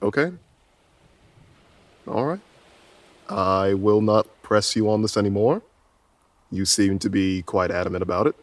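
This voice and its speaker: An earnest, politely awkward male trainer in a tabletop game podcast, played by a man. His voice is fairly low, and he talks gently with a hesitant, stammering manner. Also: unhurried, long pauses, no echo. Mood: resigned. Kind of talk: tabletop roleplay.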